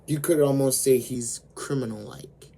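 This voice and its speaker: A man with a hoarse voice, slowly retelling an anime story as host of his own channel. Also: medium pitch, fairly even intonation, dark tone. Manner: slow, deliberate storytelling with frequent fillers.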